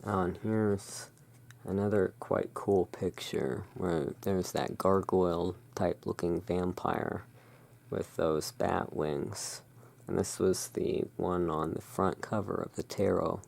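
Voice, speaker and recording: Slow, creaky low-voiced ASMR host. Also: steady tone, a little mellow. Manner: musing, talking to self. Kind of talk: casual ASMR tarot card commentary.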